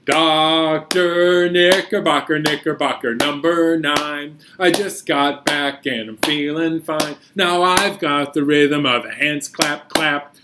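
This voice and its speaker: A man's voice: on the high side, steady tone, slow, hardly any pauses, noisy background. A man hosting a children's storytime, reading and singing playfully and expressively with sudden emphasis. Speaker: children's storytime host, librarian reader. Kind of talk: animated storytelling and songs for young children.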